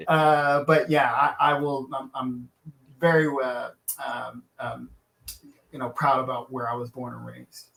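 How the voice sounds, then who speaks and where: A man from Kansas, a lawyer and author, answering interview questions in an earnest, halting way full of fillers and restarts. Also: mid-range voice, level tone, gravelly, a bit bright, roomy sound, low-quality recording.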